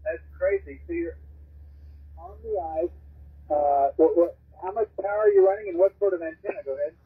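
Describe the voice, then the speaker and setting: An American man chatting casually as a radio amateur, halting and folksy, heard over a shortwave radio. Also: high-pitched, husky. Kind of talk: casual ham radio chat. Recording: narrow, garbled shortwave radio reception. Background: radio transmission heard through a speaker.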